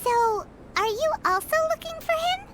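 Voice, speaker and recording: An actress voicing a tiny, chatty female fairy companion in a video game, speaking of herself in the third person with a squeaky, childlike voice. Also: big pitch swings, normal speed, very noisy. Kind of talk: video game cutscene dialogue.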